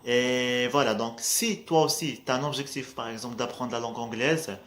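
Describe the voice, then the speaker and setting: Un jeune homme à l'marocain, dont l'arabe est la langue maternelle, qui parle en vlog motivant et décontracté, hésite souvent et répète « voilà ». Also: male voice, medium pitch, normal speed, fairly bright tone. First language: Arabic. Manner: hesitant, restarts often, says "voilà" a lot, laughs between points. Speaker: vlogger.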